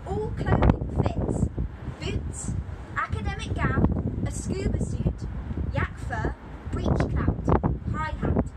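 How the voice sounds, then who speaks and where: Young woman, very high voice, poetry reading, outdoors, loud wind on the mic. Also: animated, takes it slowly, far from the mic. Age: young adult. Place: outdoors on a balcony by a windy beach. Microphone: camera a step or two away.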